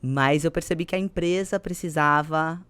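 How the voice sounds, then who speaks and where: A woman of around forty with a Brazilian accent, telling her story conversationally as an interview guest, expressive and fond of tag questions. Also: low voice, moderate pace, clear, resonant tone, no echo. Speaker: podcast guest, company executive.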